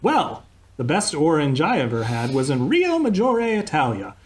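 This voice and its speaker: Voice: medium pitch, wildly swooping pitch, normal speed. A performer playing a chatty old-time radio host who drifts into travel stories, over a video call. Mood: rambling.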